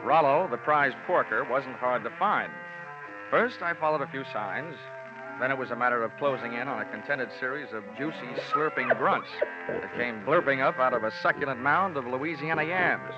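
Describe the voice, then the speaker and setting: A man's voice: mid-range voice, moderate pace, loud music behind the voice, lightly acted. An American man playing a wry, flippant insurance investigator in a mid-century radio detective drama. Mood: droll narration. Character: wisecracking, cool male freelance insurance investigator. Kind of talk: 1940s-50s radio detective acting with first-person narration. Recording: dull, noisy vintage radio recording.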